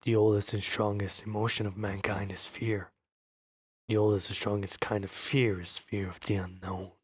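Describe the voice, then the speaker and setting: A man's voice: on the low side, level tone, moderate pace, husky. A man voicing a grim, secretive town alderman from a fantasy game, with a foreboding delivery. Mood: ominous. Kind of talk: amateur voice-over of game dialogue.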